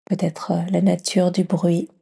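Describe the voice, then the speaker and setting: Breathy, slow-paced woman guiding meditation. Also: on the low side, little pitch movement. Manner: gentle, breathy near-whisper with long pauses. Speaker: yoga nidra meditation guide. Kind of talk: guided meditation, soft and soothing.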